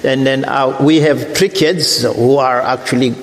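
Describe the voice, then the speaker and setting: A middle-aged man from Africa with a French accent, preaching a sermon as a guest missionary, deliberate and repeating his points. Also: fairly even intonation, creaky. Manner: deliberate, repeats phrases, rises in pitch when preaching. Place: church sanctuary. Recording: echoey church hall.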